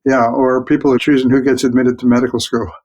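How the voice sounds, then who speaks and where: A man of mature years answers interview questions as an expert guest. He explains things in a measured, plain-spoken manner, with a mid-range voice and a reflective turn. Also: medium pitch, little pitch movement, a little mellow. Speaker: guest expert, physician researcher.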